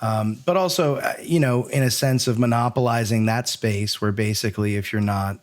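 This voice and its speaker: A man's voice: medium pitch, normal speed. A man hosting a podcast in a conversational interview style, reflective and rambling as he thinks aloud. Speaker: podcast co-host.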